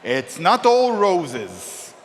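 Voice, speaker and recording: A man's voice: on the high side, wildly swooping pitch, drawn-out words. A young man from Serbia giving a joking, self-mocking talk as a convention panelist in a lecture hall. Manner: wry, self-mocking humor. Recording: reverberant hall with faint noise.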